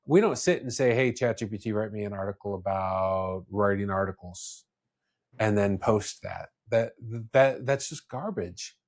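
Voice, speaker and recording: Low-voiced man on a podcast. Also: unhurried. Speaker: podcast host. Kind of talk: casual podcast discussion.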